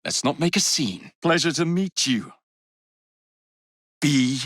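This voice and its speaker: A man's voice: on the high side, very animated, slow, fairly bright tone, dry recording, clean studio sound, full character voice. A young man with an English accent playing a cocky, roguish Victorian gang leader in a video game, wry and sardonic. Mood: wry, breezy. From England. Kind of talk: scripted game character lines.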